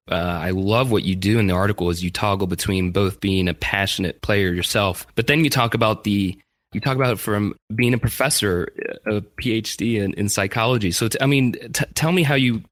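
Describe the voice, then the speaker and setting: A man hosts the interview with eager, rambling questions and keeps circling back to restart his sentences. His voice sits a bit low. The sound is dry and close to the mic, with no room around it. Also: fairly quick, a bit bright, dry recording. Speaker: podcast host. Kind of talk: interview host.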